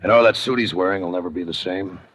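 An actor playing a weary, sardonic homicide lieutenant in clipped, wry 1950s radio crime drama style. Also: male voice, low-pitched, quick pace, breathy edge. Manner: clipped, deadpan, wry delivery. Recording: vintage radio recording with prominent music.

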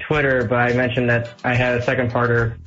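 A man calling in to a radio show, teasing the host and talking quickly. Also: medium pitch, little pitch movement, fairly bright tone, highs cut off, faint music behind. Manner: teasing, quick, laughs at own jokes. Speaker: caller. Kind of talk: call-in chat.

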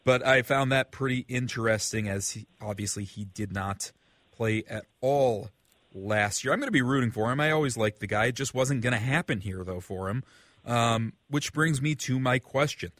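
Man, American accent, mid-pitched, very dry sound, radio co-host, casual sports chat. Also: moderate pace, a bit bright, no echo.

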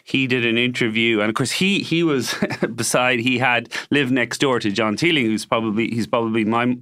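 A man's voice: mid-range voice, moderate pace, a bit bright, no room sound, studio quality. An Irish man talking casually as an interview guest, a professor thinking aloud in a discursive way. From Ireland.

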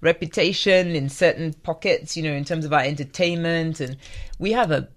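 A woman's voice: low voice, normal speed. A woman in her fifties from Nigeria, a guest in a conversational interview, talking reflectively with frequent restarts. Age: 50s.

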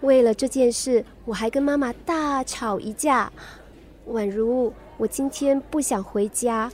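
A female actor plays a teenage daughter in a radio drama. She sounds headstrong and troubled, with a Singaporean accent. Her voice is pitched high. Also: unhurried, a little mellow, lightly acted. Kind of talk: Mandarin radio drama acting.

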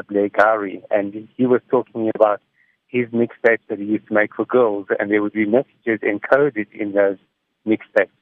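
A middle-aged man gives measured, explanatory answers in a reflective radio interview over the phone. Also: on the low side, no echo. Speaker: interviewed music technology commentator. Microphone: phone call. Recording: tinny, narrow phone line.

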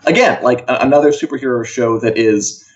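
A man's voice: medium pitch, fairly quick. Man co-hosting, dull lo-fi sound. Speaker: co-host of an entertainment news show. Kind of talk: casual chat with entertainment news and opinion.

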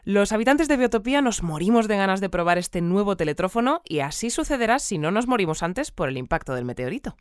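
A woman's voice: medium pitch, very animated, clear, resonant tone, no room sound, lightly acted. Composed female newsreader played by an actress, dry studio. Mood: upbeat, informative. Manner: formal, measured, unflappable. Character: composed female radio newsreader of a sci-fi community. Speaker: news anchor. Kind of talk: scripted news bulletin, fiction podcast. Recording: dry studio with music bed.

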